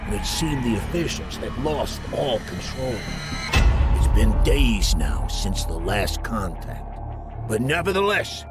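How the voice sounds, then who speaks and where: A man voicing a cocky, wisecracking mob enforcer in a video game, with a gravelly New York Italian-American accent and slangy hard-boiled delivery. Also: lowish voice, very animated, slow pace, over loud music. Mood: wry, hard-boiled.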